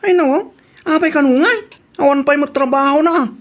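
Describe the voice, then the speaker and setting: A woman's voice: sits high, normal speed, natural voice. A woman acting a hospitable, exasperated husband in an Ilocano-accented radio drama. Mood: welcoming.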